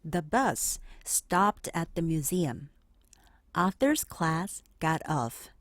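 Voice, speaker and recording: A woman narrating a children's picture book aloud in an expressive, clearly phrased storytelling manner. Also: low voice, drawn-out words, no room sound.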